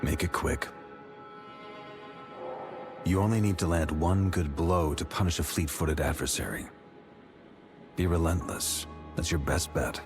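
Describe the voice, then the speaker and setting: Very deep, terse warrior. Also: male voice, measured pace, long pauses, over music. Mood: terse, cool. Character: terse, seasoned male warrior, coolly tactical. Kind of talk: scripted video game dialogue.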